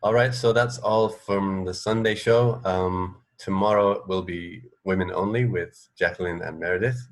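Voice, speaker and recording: A middle-aged man co-hosting a radio show on a video call, talking casually with halting phrasing. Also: deep voice, steady tone. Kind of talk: casual chat. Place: indoor room.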